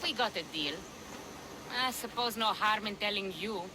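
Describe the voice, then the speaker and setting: A woman's voice: mid-range voice, moderate pace, noisy background, lightly acted. An actress playing a blunt, sardonic, foul-mouthed female pirate ship captain in a video game cutscene. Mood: grumbling, sardonic.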